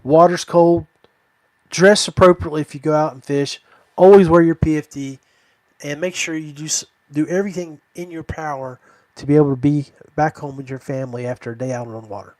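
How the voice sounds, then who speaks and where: Higher-pitched man hosting a podcast, dry sound. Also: fairly even intonation, fairly quick, darkish tone, dry recording. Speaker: podcast host. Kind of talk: casual podcast chat and sign-off.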